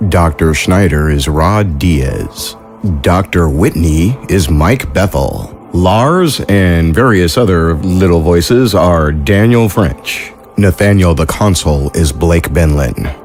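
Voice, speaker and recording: A man's voice: low voice, expressive, slow, a little mellow. A man hosting an audio fiction podcast, reading scripted credits as its producer. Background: music.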